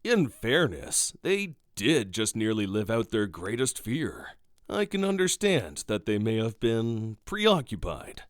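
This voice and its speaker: Sly urbane male god, acted out, slow, expressive swings, formal, close dry sound, fantasy audio drama. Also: on the low side, dry recording, exaggerated voice. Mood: measured. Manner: measured, formal, theatrical.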